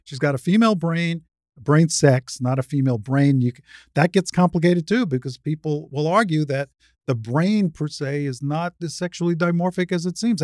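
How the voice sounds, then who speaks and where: Older woman with a low-set voice, debating. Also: a little mellow, no room sound, studio quality. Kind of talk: spirited debate in conversation.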